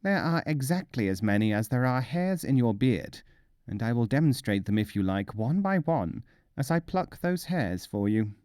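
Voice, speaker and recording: A wry, self-assured trickster mullah from a storybook, acted out by a male narrator. He speaks in a mellow, deliberate, teasing way, with big swings of pitch. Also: big pitch swings, no room sound, studio quality, close to an everyday voice. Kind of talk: storybook character voice.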